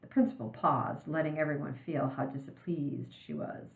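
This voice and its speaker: A woman narrating a children's chapter book aloud in an expressive storybook reading style. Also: low-pitched, normal speed, vocal fry. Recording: echoey room, slightly muffled sound.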